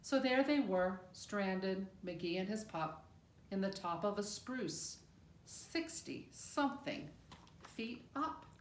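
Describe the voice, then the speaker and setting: A woman reading a rhyming picture book aloud in an expressive, measured storytelling manner with deliberate pauses. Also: medium pitch, slight background noise. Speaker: storybook reader. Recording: roomy, echoing room sound.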